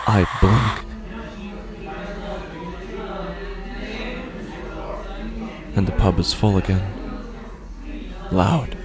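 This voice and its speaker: An actor playing an anxious, cynical, philosophizing man in a horror audio drama, with a brooding, halting delivery full of questions and pauses. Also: low voice, gravelly, full character voice. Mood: hushed dread. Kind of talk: first-person dramatic monologue, horror audio fiction.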